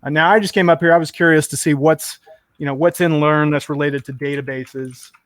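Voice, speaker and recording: A man's voice: fairly high pitch, steady tone, brisk, a bit bright, no room sound. A man chatting enthusiastically and rambling a bit on a tech stream, full of fillers. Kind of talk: casual tech chat.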